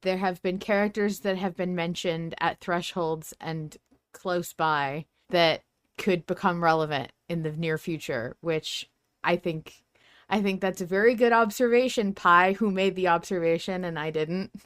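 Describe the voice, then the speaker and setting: A woman's voice: lowish voice, measured pace, smooth, dry recording, studio quality. A woman hosting a podcast, talking in a casual, conversational way and reacting readily. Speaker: podcast host.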